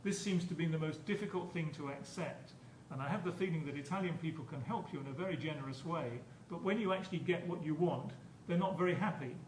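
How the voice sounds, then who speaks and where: A man's voice: on the high side, fast talker, hardly any pauses, darkish tone. Echoey, distant male academic lecturer. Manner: hesitant, repeats words and phrases while explaining. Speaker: university professor lecturing. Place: lecture room at a conference. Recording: echoey room, distant mic, background noise.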